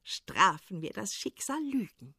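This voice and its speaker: A woman voicing a small, loyal, wise humpbacked horse in a hushed, confiding way. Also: medium pitch, very animated, a little slow, breathy edge, no room sound, clean studio sound, heavily acted. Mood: urgent.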